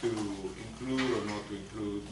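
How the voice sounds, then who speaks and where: Soft-spoken older man with Spanish accent. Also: lowish voice, fairly even intonation, unhurried. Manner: soft-spoken, hesitant with many fillers. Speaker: psychologist presenting to lawyers. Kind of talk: continuing-education lecture. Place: meeting room. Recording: echoey room, mic far off, noisy.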